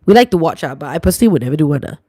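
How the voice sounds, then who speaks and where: Chatty Singaporean woman, gravelly voice. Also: low-pitched, expressive, racing, dark tone, dry recording, clean studio sound. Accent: Singaporean English. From New Zealand and Singapore. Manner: chatty, reacts aloud to comments, sprinkles lah and lor. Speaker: livestream host. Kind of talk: casual livestream chat reading viewer comments.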